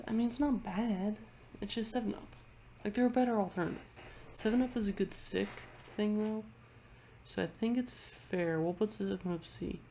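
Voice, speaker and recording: A woman's voice: medium pitch, warm tone, a little hiss or hum. A congested woman vlogger chatting casually into her webcam at home, rambling and often restarting her sentences. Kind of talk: casual chat ranking things on a tier list.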